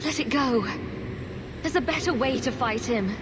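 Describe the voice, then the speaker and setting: Woman acting a higher-pitched, calm, questioning heroine. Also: normal speed, very noisy, close to an everyday voice. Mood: reassuring. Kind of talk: video game cutscene acting.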